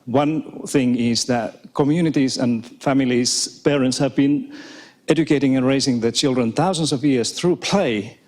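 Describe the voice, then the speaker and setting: A man's voice: mid-range voice, a little slow, darkish tone. A man with a Finnish accent, a native Finnish and Swedish speaker, giving a chatty, hesitant keynote talk to a live audience and laughing often. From Finland. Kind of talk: conference talk. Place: conference hall with live audience.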